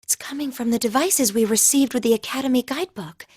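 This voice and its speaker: A polite teenage girl student from a video game. Her voice is high and studious, recorded dry and close. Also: quick pace, a little mellow, husky, dry recording. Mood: curious. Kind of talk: English video game voice acting.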